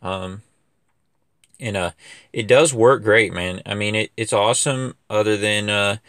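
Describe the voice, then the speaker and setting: Young lawn care dude talking loose and rambly, lots of ums, smooth clean voice. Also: male voice, fairly low pitch, measured pace, fairly bright tone, no room sound, studio quality. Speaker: lawn care business owner. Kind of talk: casual equipment review vlog. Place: outdoors in a wooded yard.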